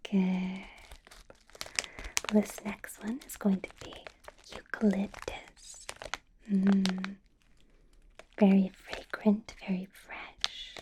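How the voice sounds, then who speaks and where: A woman's voice: medium pitch, flat delivery, very slow, long pauses, background noise. A woman whispering as a possessive, menacing female vampire boss in an ASMR roleplay, sweet on the surface with a threatening edge. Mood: sweetly menacing. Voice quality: soft unvoiced whisper.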